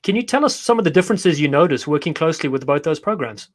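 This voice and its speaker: A man's voice: on the high side, expressive, quick pace. A man hosting a live online show, chatting upbeat and friendly with his guests. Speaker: host. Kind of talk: casual live show interview.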